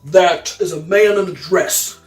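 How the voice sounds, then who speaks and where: A woman's voice: fairly low pitch, measured pace, a little mellow, reverberant, faint music behind, close to an everyday voice. A woman voicing a sneering, bullying male policeman in a storybook, with a commanding manner.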